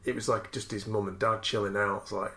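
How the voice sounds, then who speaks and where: A man's voice: on the low side, little pitch movement, fairly quick, gravelly, sharp tone. A man in casual conversation, swapping anecdotes about films in an informal, sweary storytelling manner. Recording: roomy, echoey sound.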